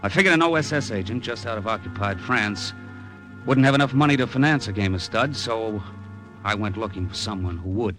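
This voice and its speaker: An actor playing a cynical American gambler in a vintage radio drama, wry and slangy, with an American accent. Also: male voice, on the low side, lively intonation, brisk, fairly bright tone, faint music behind. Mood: hard-boiled narration. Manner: wry, slangy, hard-boiled. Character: cynical, broke American gambler abroad, middle-aged. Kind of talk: 1950s radio drama acting and noir narration.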